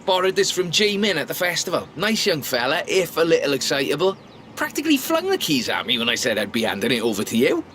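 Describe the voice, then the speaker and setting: An actor playing an excitable, car-obsessed drift club mentor in a video game, chatty, theatrical and playfully pedantic. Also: male voice, fairly high pitch, quick pace. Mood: enthusiastic, chatty. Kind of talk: video game mission commentary. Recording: voice under loud car engine noise.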